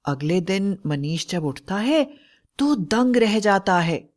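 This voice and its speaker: A woman delivers scripted storybook narration. She speaks at a slow, measured pace in a medium-pitched voice, laying out the tale line by line. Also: big pitch swings, a little mellow.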